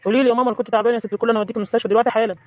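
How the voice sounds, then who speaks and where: A performer voicing a devoted adult son with an Egyptian accent who soothes his worried mother in brisk, reassuring words. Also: mid-range voice, steady tone, no room sound, muffled recording, natural voice. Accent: Egyptian Arabic. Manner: brisk, soothing, calls her "lady of all".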